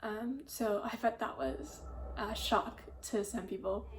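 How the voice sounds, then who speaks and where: A woman's voice: mid-range voice, fairly even intonation, slow. A young woman, a student actor, speaking in a casual interview. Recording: noisy recording.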